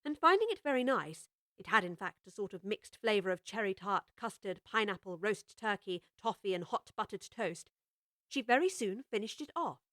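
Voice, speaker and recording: A woman reading scripted storybook narration in a measured, expressive way. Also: medium pitch, no room sound, studio quality. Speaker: audiobook narrator.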